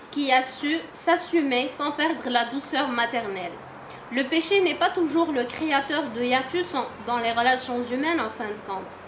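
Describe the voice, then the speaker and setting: A woman in her forties reading a prepared literary review aloud from her phone in a measured way, in a salon full of listeners. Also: high voice, fairly bright tone, echoey room, a little hiss or hum, rough recording. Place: large salon room with audience.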